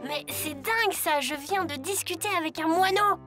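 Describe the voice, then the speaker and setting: A woman's voice: very high voice, normal speed, music in the background. A woman voicing a cheerful, wry schoolboy hero in a French children's cartoon, casual and boyish. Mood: puzzled, upbeat.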